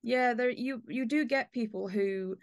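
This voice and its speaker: A woman's voice: fairly high pitch, measured pace, no room sound, studio quality. A British woman hosting a podcast in a conversational discussion about the arts. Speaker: podcast host.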